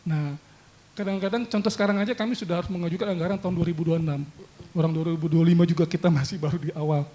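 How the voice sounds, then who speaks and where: A man, a government official asking a measured question from the audience. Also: on the high side, fairly even intonation, mellow. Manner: measured, halting.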